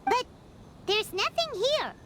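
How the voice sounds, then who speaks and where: A woman voicing a small, excitable childlike fairy companion in a video game, squeaky and easily spooked. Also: takes it slowly, slight background noise, heavily acted. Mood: unsure, curious. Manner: exclamatory, speaks of herself in third person. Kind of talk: video game character acting.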